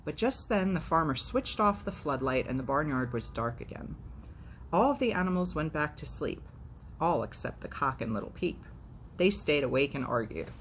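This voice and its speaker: A woman's voice: low voice, lively intonation, normal speed. A woman hosting storytime, reading expressively and dropping in playful, sardonic, chatty asides. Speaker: storytime host. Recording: faint background noise.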